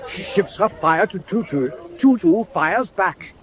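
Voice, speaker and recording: A man's voice: sits high, lively intonation, unhurried, background noise. A man playing an alert eyewitness narrator reporting action in a scripted radio drama. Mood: tense, watchful. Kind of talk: scripted battle commentary in a drama.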